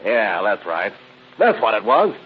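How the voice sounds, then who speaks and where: An actor playing a brutal, impatient American smuggling boss in an old radio drama, slangy and tough. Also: male voice, fairly low pitch, very animated, measured pace. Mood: threatening, impatient. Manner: threatening, slangy, tough-guy bark. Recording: tinny narrow-band old radio with hiss.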